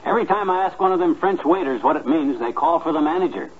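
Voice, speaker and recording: A man's voice: fairly high pitch, animated, fast, lightly acted. Male actor as blustering, slangy homespun husband for vintage radio comedy; thin, band-limited sound with some hiss. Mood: chatty. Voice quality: folksy, slangy diction. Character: folksy, blustering, boastful middle-aged husband. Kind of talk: 1950s radio comedy acting. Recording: tinny, noisy old radio recording.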